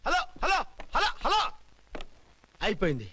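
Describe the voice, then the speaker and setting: An actor playing a frantic, exasperated associate of a bridegroom in a Telugu film comedy, pleading urgently. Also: male voice, very high-pitched, huge pitch swings, a little slow, bright, forceful tone, exaggerated voice.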